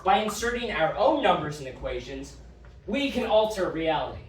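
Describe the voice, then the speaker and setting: A man's voice: sits high, very animated, normal speed. A man playing an evasive, nervous otherworldly agent in a science fiction audio drama, hesitant and stammering. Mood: explaining. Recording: very echoey room, distant mic, faint noise.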